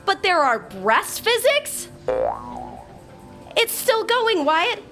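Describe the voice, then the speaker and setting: A woman playing an exasperated, sharp-tongued young woman. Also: very high-pitched, sing-song, slow, faint music behind, full character voice. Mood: mortified. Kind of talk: comedic audio drama acting.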